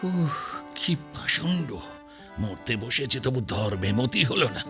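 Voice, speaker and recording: An actor playing a fawning family priest who coaxes and tut-tuts in a wheedling way. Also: male voice, medium pitch, very animated, slow pace, over loud music. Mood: indignant. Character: fawning middle-aged family priest, sanctimonious. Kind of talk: radio drama acting.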